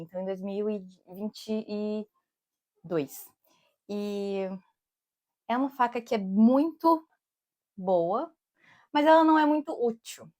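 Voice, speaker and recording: A woman's voice: on the high side, darkish tone. Young Brazilian woman with a Brasília accent, a cook vlogging outdoors, loose and chatty delivery full of filler. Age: young adult. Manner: rambling and digressive, keeps saying "tipo" and "amigos". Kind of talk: casual chatty vlog. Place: outdoors in summer, with bees around.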